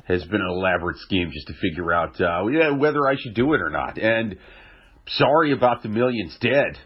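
A young man with a cold and a nasal voice, chatting casually on a podcast with dry deadpan jokes. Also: on the low side, moderate pace, husky. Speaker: podcast co-host. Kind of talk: casual chat. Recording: dull lo-fi audio.

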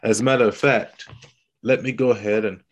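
A man hosting a casual Bible lesson over a video call, his voice raspy from illness, explaining things slowly and teasing his partner. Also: lowish voice, fairly even intonation.

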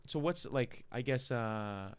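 A man's voice: medium pitch, animated, slow, clean tone. A man hosting a casual podcast, asking questions in a loose, laid-back way. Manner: laid-back, loose phrasing with many fillers and restarts. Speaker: podcast host.

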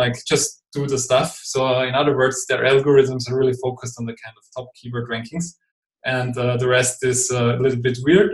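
A man from Austria speaks English with an Austrian German accent, his native German clear in the vowels. He explains at an even middle pitch, hesitant, with frequent fillers and restarts. The recording carries a noticeably roomy echo. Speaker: guest expert. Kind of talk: podcast interview.

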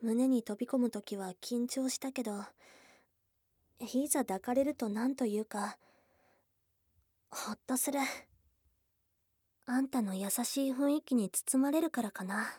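A woman voicing a shy young goblin girlfriend in an intimate ASMR voice drama, blunt and tomboyish in wording but flustered and tender, with breathy murmurs close to the mic. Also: fairly high pitch, measured pace, long pauses, mellow, no echo. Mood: bashful, tender. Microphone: close ASMR microphone.

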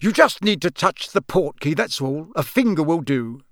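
A man's voice: a little high, expressive, normal speed, fairly bright tone, dry recording, clean studio sound. A man voicing a friendly, unassuming middle-aged wizard father in an audiobook. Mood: businesslike. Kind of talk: audiobook character voice.

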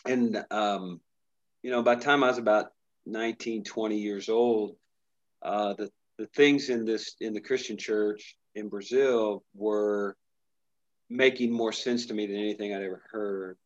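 A man's voice: lowish voice, steady tone, measured pace. Middle-aged man telling stories, lo-fi. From Indiana, USA. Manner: rambling storyteller, frequent restarts. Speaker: pastor. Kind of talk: casual interview conversation.

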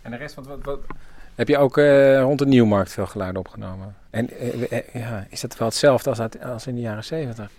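Halting male interviewer, mid-range pitch. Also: darkish tone. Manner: halting, searching for words. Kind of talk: casual interview questions.

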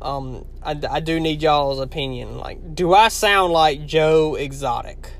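Young man, heavy Louisiana accent, casual rambling vlog chat, slightly higher voice. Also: a little slow. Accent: heavy Southern US, Louisiana. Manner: chatty, rambling, talks straight to viewers. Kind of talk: casual talk to camera.

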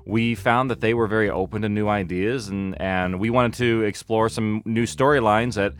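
A man's voice: lowish voice, fairly even intonation, normal speed, smooth. A man speaking as an interview guest, chatting casually and explaining things through anecdotes. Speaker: interview guest, audio fiction producer.

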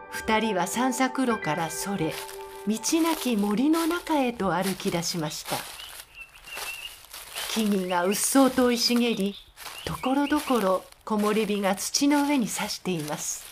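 A woman's voice: a little high, lively intonation, a little slow, over music. A woman reading scripted narration as the narrator of a radio drama. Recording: clear background noise and ambience.

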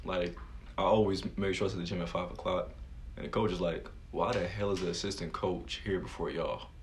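A man's voice: deep voice, steady tone, brisk, a little hiss or hum. A man chatting casually as an interviewer and fellow coach, informal and blunt, with some offhand swearing. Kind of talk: casual chat.

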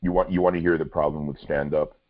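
A man's voice: very deep voice, little pitch movement, quick pace, no echo, narrow, tinny audio. A man hosting a casual podcast, rambling and restarting his sentences, with dry humor. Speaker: podcast host.

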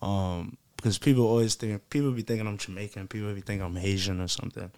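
A low-voiced man with a gravelly edge, talking as a musician in an interview. He is emphatic and sure of himself, uses loose slang and repeats his phrases, and the sound is dry and close. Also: steady tone, quick pace, a little mellow, no echo. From United States. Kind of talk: casual slangy interview chat.

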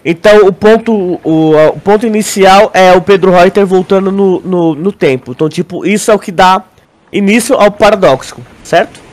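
A man with a Brazilian accent in a casual podcast discussion, explaining in a halting way, repeating words and often checking that his listener agrees. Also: high voice. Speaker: podcast co-host.

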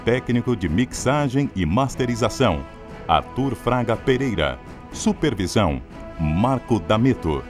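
A man's voice: medium pitch, sing-song, unhurried. A man with a Brazilian accent reading scripted programme announcements as a formal, declamatory radio announcer. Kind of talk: scripted broadcast credits announcement. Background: prominent music.

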